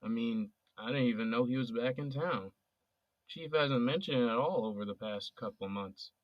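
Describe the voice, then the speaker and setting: A man's voice: medium pitch, moderate pace, dry recording, close to an everyday voice. An actor playing a sincere young male police officer who talks casually and earnestly with his best friend. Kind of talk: audio drama acting. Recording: dull, dry, lo-fi recording.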